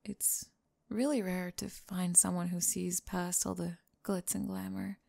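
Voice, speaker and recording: Woman acting as sweetly smitten young female pop star, slow pace, slightly creaky, breathy, hesitant, ASMR romance roleplay. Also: fairly low pitch, little pitch movement, full character voice. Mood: tender, intimate. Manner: soft-spoken, breathy, giggly and hesitant.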